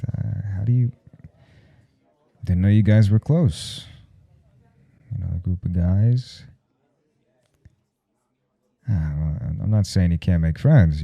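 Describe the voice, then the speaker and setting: A jealous flustered professor, really dark low voice, halting and sweary, intimate asmr audio drama, kinda muffled sound. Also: male voice, exaggerated voice. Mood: flustered, defensive. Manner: halting, stammering, gruffly sweary. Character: jealous, flustered male professor smitten with his teaching assistant. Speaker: voice actor in audio roleplay.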